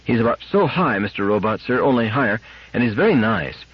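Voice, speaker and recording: A man's voice: mid-range voice, animated, fairly quick, breathy edge, muffled recording. A man voicing a small, determined girl in a storybook, childlike, very polite and earnest. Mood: eager, earnest. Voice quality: treble, childlike.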